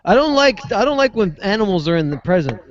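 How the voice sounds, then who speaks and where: Lively joking man on a dull mic. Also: high-pitched. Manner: jokey, lively, quick to change topics. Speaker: podcast host. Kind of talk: casual chat. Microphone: big headphones on, remote call.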